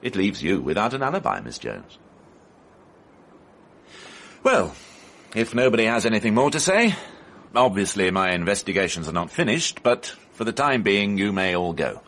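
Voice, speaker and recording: An actor playing a calm, methodical police inspector in a radio drama, questioning formally and pointedly. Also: male voice, on the low side, normal speed, pauses often, full character voice. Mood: measured, probing.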